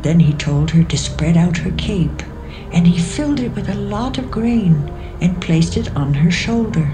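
Slow, low-pitched woman narrator over music. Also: expressive. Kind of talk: scripted Bible narration. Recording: music behind the voice.

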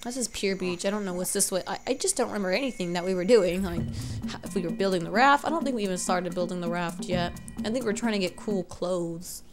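A woman's voice: on the low side, fast, music in the background. Rambling congested young woman gamer. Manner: rambling, self-correcting, unsure. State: congested from allergies. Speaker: let's play gamer. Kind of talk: casual gameplay commentary.

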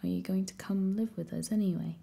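A woman's voice: medium pitch, fairly even intonation, a little fast, vocal fry, warm tone. A woman voicing a smug, bossy boy with a Cockney accent, whispering his lines in a condescending way. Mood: disdainful. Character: surly boy with pudgy face, smug and bossy.

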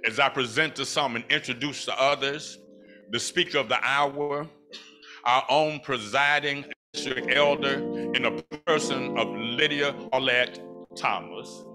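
A man with a deep voice introducing the evening's speaker at a church pulpit, peppering his talk with amens, on a handheld microphone. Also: unhurried, over music. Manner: warm preacher cadence with frequent amens. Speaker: introducer of the speaker, her older brother. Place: large church sanctuary. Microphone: handheld mic at pulpit, far camera.

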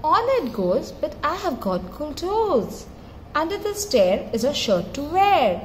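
A woman with a high voice reads a children's picture book at an unhurried pace. Her delivery is expressive and singsong, with encouraging little prompts for a toddler. It is a home phone recording with clear background noise. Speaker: mother reading to her toddler. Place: tiled floor in a room at home.

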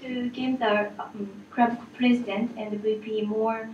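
Female workshop participant, halting answers, muffled far-off room pickup with faint noise. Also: on the high side, little pitch movement. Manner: hesitant, many fillers. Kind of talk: answering in a group discussion. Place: large meeting room. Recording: dull, echoey, from far off.

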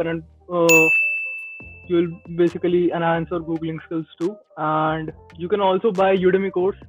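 A man in his twenties with an Indian accent, giving informal advice as a guest in a video-call interview. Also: sits high. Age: 20s. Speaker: podcast guest, Android developer. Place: small indoor room. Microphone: earbuds on a video call. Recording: tinny phone-like call audio, faint music.

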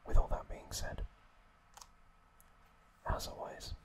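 A man whispering gently and unhurriedly to his listeners as an ASMR host. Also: background noise. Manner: gentle, unhurried, softly spoken direct address to listeners.

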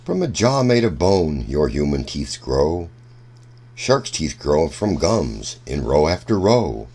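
A man reading a rhyming children's book aloud in a deliberate, sing-song storytelling manner. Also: extremely low pitch, big pitch swings, darkish tone, husky.

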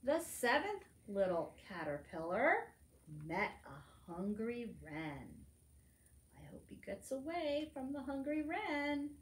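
A middle-aged woman reads a picture book aloud to preschoolers in an expressive, teacherly manner, adding simple asides. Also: a little high, slow. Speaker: librarian storytime reader. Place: library room among bookshelves, indoors. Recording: roomy indoor echo.

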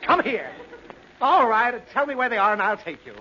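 A man's voice: very high voice, very animated, normal speed, sharp tone, full character voice. An actor playing a harried, exasperated father in 1930s radio comedy, blustering and whining. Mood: frantic. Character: harried, exasperated father, quick to threaten spankings. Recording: dull, noisy 1930s radio recording.

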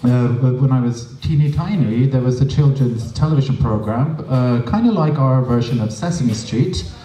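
Norwegian male guest, mellow voice, chatty and dry, heard in a roomy space from a distance. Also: medium pitch, moderate pace. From Norway. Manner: chatty, dry humor, many fillers. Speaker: interview guest, drag performer. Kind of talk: casual storytelling interview. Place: small stage with audience, indoors. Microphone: handheld mic.

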